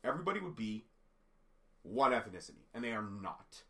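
A man with a voice gone raspy from a cold co-hosts a casual chat podcast. He talks at length and rambles in an animated way, with a pitch that sits in the middle for a man. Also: brisk. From Canada. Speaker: podcast co-host.

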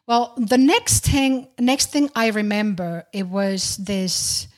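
A woman's voice: medium pitch, slow. A middle-aged woman from Finland, hosting a podcast and telling a personal story. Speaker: podcast host.

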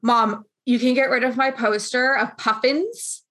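A woman in her late twenties hosts a casual interview over a video call. She talks in a slightly high voice, chatty and animated. She keeps acting out the lines of people in her stories. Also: measured pace. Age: 20s.

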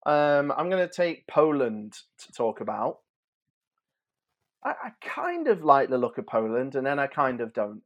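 A man's voice: medium pitch, big pitch swings, a little slow, lo-fi sound. A man in his thirties chatting informally and a little hesitantly as a podcast host previewing sport. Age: 30s. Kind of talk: casual football preview chat.

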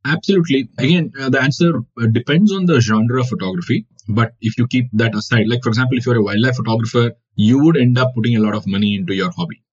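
A man's voice: medium pitch, fast talker, few pauses, husky. A man from India whose first language is Kannada, giving reflective interview answers as a wildlife photographer with many fillers. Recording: dull lo-fi audio.

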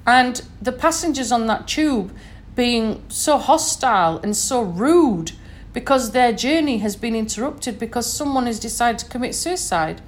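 Earnest Sheffield-accented woman, fairly high voice. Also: lively intonation, measured pace. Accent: Sheffield English. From Sheffield, northern England. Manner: earnest, checks in with frequent "okay?". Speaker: educator giving a talk. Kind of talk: informal recorded talk to listeners.

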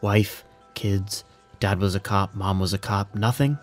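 Man playing a dry, low police captain. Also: steady tone, soft background music, close to an everyday voice. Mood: calm, probing. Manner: dry, understated, unhurried authority. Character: dry, steady male police captain in command. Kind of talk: audio drama acting.

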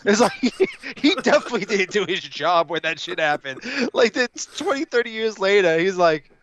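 A man co-hosting a podcast, talking casually and opinionatedly, repeating himself as he retells things in an animated way. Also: high-pitched, moderate pace. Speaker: podcast co-host. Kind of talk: casual opinionated panel chat. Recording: dull lo-fi audio.

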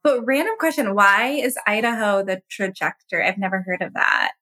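A woman co-hosting a podcast, asking questions and chiming in with quick affirmations. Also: medium pitch, lively intonation, pushed and bright. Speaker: podcast co-host. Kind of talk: casual conversation.

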